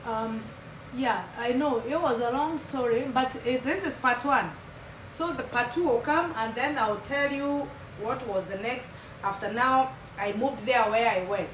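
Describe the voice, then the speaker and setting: A chatty Kenyan woman in her thirties tells her life story to her viewers, her voice sitting slightly high. She is recorded from a distance in a roomy, echoing space. A steady, loud noise runs underneath her talk. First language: Kimeru. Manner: chatty, talks straight to viewers, drops Swahili phrases. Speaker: vlogger. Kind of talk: casual storytelling vlog. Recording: echoey room from far off, loud background hum.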